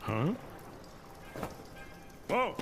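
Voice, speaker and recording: An actor playing an earnest, hearty middle-aged superhero in an animated video game, friendly, eager and plain-spoken. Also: male voice, very slow, very noisy. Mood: startled, concerned. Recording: loud music under dialogue. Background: loud music.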